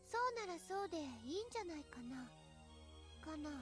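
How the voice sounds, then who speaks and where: A woman's voice: extremely high pitch, big pitch swings, fast talker, over music. A woman voicing an eerie teenage schoolgirl in a Japanese visual novel, creepy, insinuating and dismissive. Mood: eerie probing. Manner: creepy, insinuating, repeating sentence endings.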